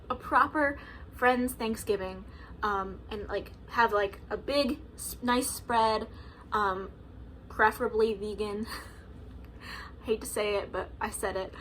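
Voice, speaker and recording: A woman's voice: medium pitch, fairly bright tone, a little hiss or hum, poor audio. A woman in her early twenties talking casually to camera at home, chatty, with lots of "like" and "um" and frequent laughs. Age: 20s. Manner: chatty, lots of likes and ums, laughs often. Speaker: vlogger.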